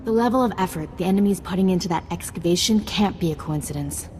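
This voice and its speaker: A woman playing a composed, resolute Jedi master in a video game, speaking in a measured, earnest and authoritative way. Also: on the low side, darkish tone, a little hiss or hum, lightly acted. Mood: focused. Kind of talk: scripted video game acting. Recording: game music behind.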